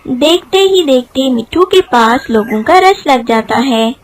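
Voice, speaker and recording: A woman's voice: high voice, animated, measured pace, a little hiss or hum. A woman narrator telling a children's cartoon story.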